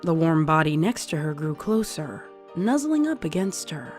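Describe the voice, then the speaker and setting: A woman's voice: deep voice, sing-song, normal speed. A woman reading scripted audiobook narration as the storyteller. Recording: music playing behind the voice. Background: soft music.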